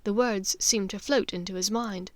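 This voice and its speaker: A woman narrating a fiction audiobook with dramatic, deliberate storytelling. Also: mid-range voice, steady tone, no echo.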